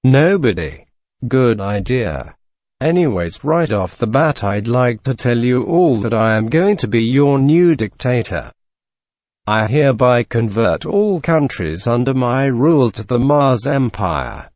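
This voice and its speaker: Guy doing a cocky war god dictator, slow pompous threats, dry close sound, drama monologue. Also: mid-range voice, animated, darkish tone, dry recording. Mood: arrogant, menacing. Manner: menacing, pompous. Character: arrogant, ruthless male god of war turned tyrant.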